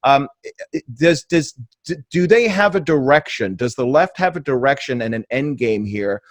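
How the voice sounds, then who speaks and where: A man's voice: mid-range voice, lively intonation, normal speed, no room sound. A man from England hosting a political podcast, asking long, rambling conversational questions. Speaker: podcast host.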